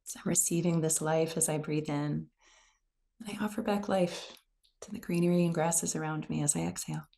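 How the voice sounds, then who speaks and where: Female meditation guide, soft-edged dark tone with noticeable vocal fry, gentle, slow-paced delivery. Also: sits low, fairly even intonation. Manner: gentle, unhurried, soothing. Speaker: meditation teacher.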